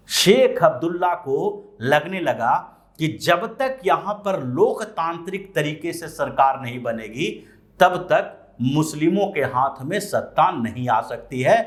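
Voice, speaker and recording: Higher-voiced man giving political commentary. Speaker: news commentator.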